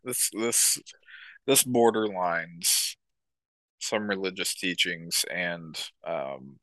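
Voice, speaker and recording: A young man chatting casually as a podcast co-host, with crude, deadpan jokes. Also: deep voice, extremely slow, no echo, low-quality recording. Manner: crude, joking, deadpan asides. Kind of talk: casual chat.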